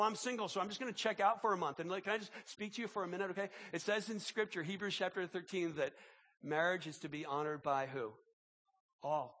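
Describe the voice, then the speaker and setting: A man preaching as pastor in a church, sick, with his voice deeper than normal, repeating and restarting words as he pleads with the congregation. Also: a bit bright, long reverb tail. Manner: repetitive restarts, emphatic pleading. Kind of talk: sermon with opening prayer and announcements. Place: large church auditorium.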